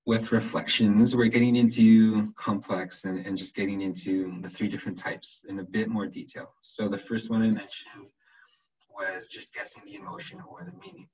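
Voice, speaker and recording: A soft-spoken man giving an online lecture, hesitant and often repeating his words, who checks in with "right?". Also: on the low side, fairly even intonation, normal speed. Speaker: webinar presenter. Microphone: computer microphone on a video call. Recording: muffled video call audio.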